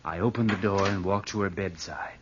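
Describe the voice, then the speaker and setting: An actor playing a coldly scheming, murderous nephew in an old-time radio drama, speaking with careful deliberation. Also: male voice, low-pitched, close to an everyday voice. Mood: guarded. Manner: slow, deliberate, hushed narration and hesitant dialogue. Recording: muffled vintage radio recording with faint noise.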